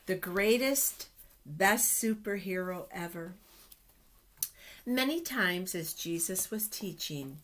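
A middle-aged woman hosting a children's storytime, reading aloud with deliberate, expressive phrasing and pauses. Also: lowish voice. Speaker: children's storytime host at a church. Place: small indoor office.

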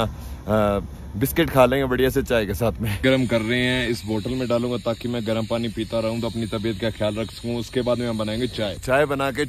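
A man in his thirties talks to his phone at arm's length as he vlogs a road trip, chatty and upbeat as he points out the sights. He is outdoors on a mountain road. Wind and vehicle noise come through clearly behind him. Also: medium pitch. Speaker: travel vlogger. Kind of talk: casual travel vlog to camera. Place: outdoors on a high mountain roadside.

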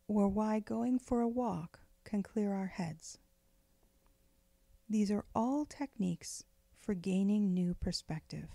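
A woman leading a guided meditation in a gentle, unhurried, soothing way, with phrases often trailing off into breath. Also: medium pitch, gravelly, dry recording. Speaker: meditation guide, spiritual director.

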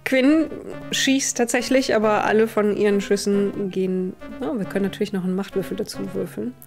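A woman's voice: mid-range voice, steady tone, fairly quick, creaky, a little mellow, soft background music. A woman in her thirties narrating a tabletop game as game master.

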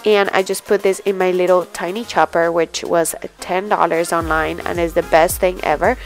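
A young woman and mother chatting casually to the camera in her home kitchen, relaxed and a little rambling. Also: on the low side, normal speed, soft background music. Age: young adult. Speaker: vlogger, mom.

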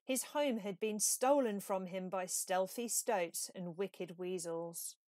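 A woman reading a children's storybook aloud in a measured, expressive way. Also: medium pitch, no room sound. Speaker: storybook reader. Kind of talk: scripted children's story narration.

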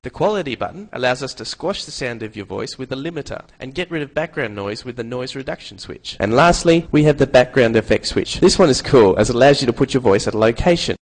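A man's voice: medium pitch, animated, fast, hardly any pauses. Young man presenting a playful tutorial. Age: young adult. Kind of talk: scripted tutorial narration.

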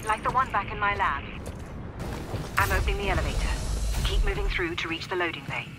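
A man's voice: high voice, expressive, a little fast, heavy background noise. A man voicing a remorseful scientist who urgently guides the hero by radio in a video game. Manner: urgent, pleading. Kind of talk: video game cutscene acting. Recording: game audio over loud music.

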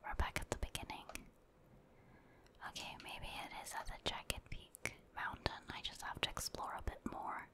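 A woman speaks entirely in a soft, breathy whisper. She narrates her gameplay in an ASMR style, thinking aloud without hurry and addressing viewers casually. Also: moderate pace. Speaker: game streamer, ASMR creator.

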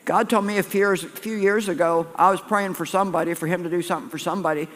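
A man's voice: high-pitched, steady tone, fast talker, a bit bright. An older man preaching a sermon to a live congregation in a folksy, conversational way, often restarting phrases and repeating points. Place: large church sanctuary. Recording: echoey church hall.

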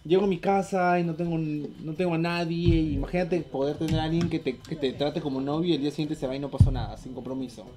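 A man's voice: on the high side, fairly even intonation, fast talker, few pauses. A man in his twenties with a Peruvian accent, a radio host chatting informally and often repeating himself. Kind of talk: casual on-air chat. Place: small radio studio. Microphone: broadcast studio microphone on arm. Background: music.

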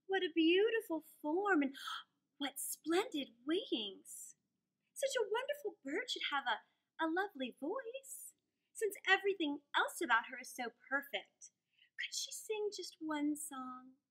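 A woman voicing a sly, flattering male fox in a children's storybook, gushing sweetly in drawn-out phrases. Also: very high-pitched, voice pushed up, full character voice, rough recording. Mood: gushing flattery.

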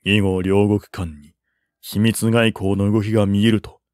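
A man reading a historical war novel as a narrator, in a measured, formal and dramatic manner. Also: lowish voice, flat delivery, darkish tone, no echo, clean studio sound. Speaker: audiobook narrator.

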